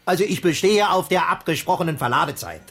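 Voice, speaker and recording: Animated, harassed circus director in his forties. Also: fairly high pitch, fast. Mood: insistent. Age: 40s. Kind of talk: 1980s German radio drama acting. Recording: radio play, faint music behind.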